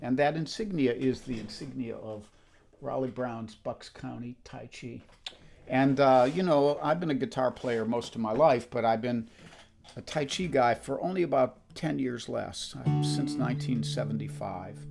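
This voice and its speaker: A man in his seventies with a croaky voice, chatting casually and in a rambling, self-deprecating way between songs on his live stream. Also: medium pitch, normal speed, a little mellow. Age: 70s. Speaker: musician hosting a live stream. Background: acoustic guitar.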